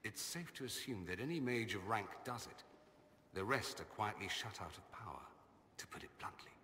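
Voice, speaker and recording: Wry vain mage nobleman, drawling, sharp bright tone, long echo. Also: fairly low pitch, moderate pace, slight background noise. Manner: sardonic, drawling, self-amused. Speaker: companion character. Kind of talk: video game dialogue.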